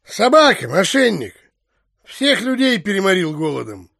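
An actor playing a blunt, grumbling middle-aged provincial landowner in an audio drama. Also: male voice, high voice, very animated, normal speed, creaky, fairly bright tone, no echo, studio quality, full character voice. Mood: scornful. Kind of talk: theatrical audio drama acting.

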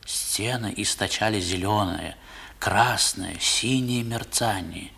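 A man's voice: lowish voice, slow, creaky, sharp tone, breathy edge, full character voice. A man playing a wistful narrator who recalls boyhood, telling the story expressively in a 1960s radio drama. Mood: wonder. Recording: old 1960s radio recording.

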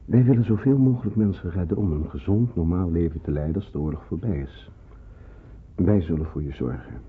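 An actor playing a kindly but firm resistance helper in a radio play, earnest and reassuring. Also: male voice, sits low, fairly quick, natural voice. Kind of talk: Dutch radio play acting. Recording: noisy narrow-band old radio play recording.

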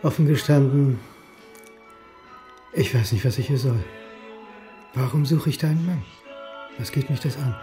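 An actor playing a weary, reserved historian turned reluctant detective, terse and dry, in a naturalistic radio play. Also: male voice, medium pitch, unhurried, long pauses, warm tone, faint music behind, natural voice.

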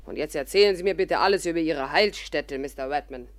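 Imperious old stage diva played by an actress. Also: female voice, low voice, a little fast, natural voice. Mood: haughty, commanding. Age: older. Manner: theatrical, declamatory, haughty. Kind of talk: 1950s German radio drama acting. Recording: 1950s radio play recording.

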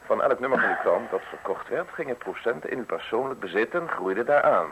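An actor in a radio play playing a polite, insinuating state official with a formal, measured way of speaking. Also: male voice, medium pitch, lively intonation, lightly acted. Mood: measured, accusing. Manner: formal, measured, courteous, deliberate phrasing. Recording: hissy, noisy old radio recording.

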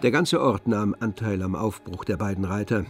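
Male narrator for literary radio drama, composed scripted reading, lowish pitch, faint music underneath. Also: moderate pace.

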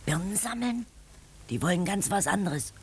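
An actress playing a nosy, spiteful old village neighbour in a German radio drama, scornful and prying. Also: female voice, mid-range voice, lively intonation, normal speed, a little mellow, breathy edge. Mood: scornful gossip.

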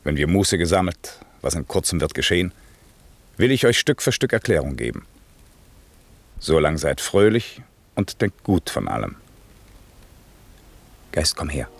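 A very low-voiced, aging duke and sorcerer, stately and authoritative. He delivers verse with measured dignity in classic radio drama style, recorded dry and close. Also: sing-song, vocal fry, breathy edge, no echo, full character voice. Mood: commanding, reassuring. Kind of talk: classic German radio play acting, verse.

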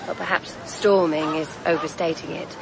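An actress playing a quiet, watchful companion woman in a radio drama, soft-spoken and faintly teasing. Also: low voice, steady tone, normal speed, creaky, crowd noise, rough recording. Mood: probing. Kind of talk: BBC radio drama acting.

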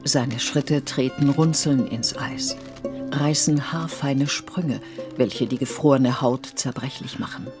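A woman reading literary narration for a radio play in a measured, deliberate way. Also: a bass voice. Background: music with violin.

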